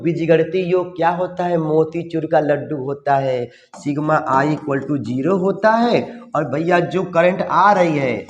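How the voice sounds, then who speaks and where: Hoarse male teacher in echoey room. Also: on the high side. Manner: energetic, repeats tag questions, folksy coaching. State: slightly hoarse. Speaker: physics teacher. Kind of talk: exam revision lesson. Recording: roomy echo, long reverb.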